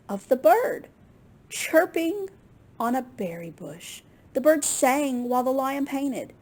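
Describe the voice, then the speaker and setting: Storytime reader: woman, higher-pitched, expressive and swooping, slow delivery for young children. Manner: expressive, unhurried, with small asides to listeners. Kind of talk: expressive picture book read-aloud for children.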